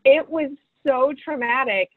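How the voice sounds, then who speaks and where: A woman's voice: high voice, unhurried. A woman casually sharing personal stories as a participant in a group discussion on a video call. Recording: thin phone-like video call audio.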